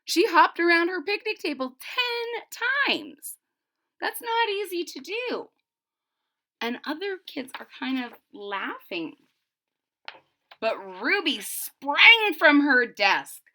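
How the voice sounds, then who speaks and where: Female children's story reader; expressive, slow-paced and bright, explaining simply as she goes. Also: very high-pitched. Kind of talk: animated read-aloud for young children with explanatory asides.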